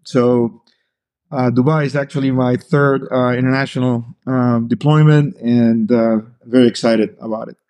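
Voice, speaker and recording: A man's voice: medium pitch, fairly even intonation, moderate pace, a little mellow. A middle-aged man from Argentina, answering as a podcast guest in a hesitant way, full of fillers and restarts. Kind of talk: casual interview talk.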